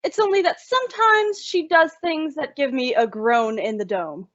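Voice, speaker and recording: Lady acting sensible sarcastic roommate gal in old radio comedy, high voice, dull dry sound. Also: normal speed, a bit bright, dry recording. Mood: wry, confiding. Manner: wry, patient, exasperated. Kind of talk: old-time radio sitcom acting, narrating to listeners.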